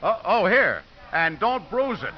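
A man's voice: high-pitched, big pitch swings, a little slow. An actor playing a sardonic football coach and father in a 1950s radio drama, with a dry, wisecracking manner. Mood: brusque, commanding. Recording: dull, noisy 1950s radio recording.

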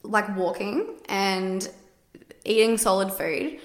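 Animated woman guest in an echoey room. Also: medium pitch, slow pace. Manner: animated storytelling, frequent laughs. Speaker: podcast guest. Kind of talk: casual podcast chat.

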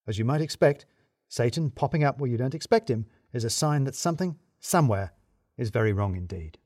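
A man reading a scripted comic mystery in the first person, with wry, theatrical emphasis and deliberate pauses. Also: mid-range voice, lively intonation, a little mellow. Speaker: audiobook reader narrating in first person as an office worker.

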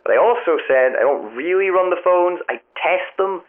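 An actor playing a hesitant, wry and affable phone tester in an audio drama, talking in a halting, bemused way through a telephone filter. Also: male voice, sits high, expressive. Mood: vague, matter-of-fact. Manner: halting, vague, literal-minded, politely bemused. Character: hesitant, affable, wry man who tests the tower phones. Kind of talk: naturalistic audio drama dialogue. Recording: thin phone-line sound, music underneath.

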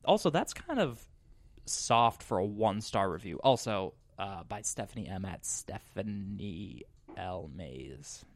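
A man's voice: fairly low pitch, big pitch swings, unhurried, dry recording. A man hosting a casual podcast, playfully teasing his guest while reading beer reviews aloud. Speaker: podcast host.

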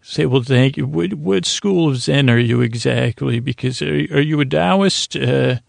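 A man with a creaky, gravelly voice hosting a sleep podcast, giving a halting, meandering, soothing bedtime monologue full of digressions. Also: medium pitch, no room sound, clean studio sound. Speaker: podcast host. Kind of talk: rambling bedtime monologue for falling asleep.

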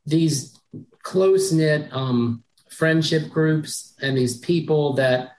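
A woman speaking on a panel, reflective and pausing often with ums. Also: low-pitched, a little mellow, low-quality recording. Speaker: author on a panel. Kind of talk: panel discussion.

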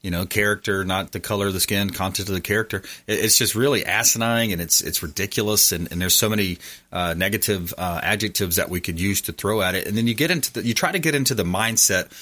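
Halting low-voiced man, bright tone. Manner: halting, repeats words, many "you know"s. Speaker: host. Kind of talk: casual interview chat.

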